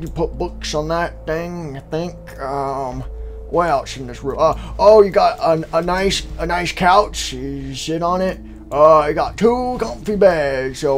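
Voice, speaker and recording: A man putting on an old hotel owner who drawls and mumbles his way through a rambling tour, in a playful game roleplay. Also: fairly high pitch. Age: older.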